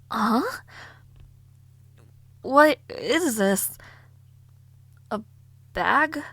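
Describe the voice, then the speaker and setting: A young woman voicing a spirited young noblewoman mage, giggly and formally spoken, with soft whispered asides, for an audio roleplay. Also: mid-range voice, heavily acted. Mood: curious.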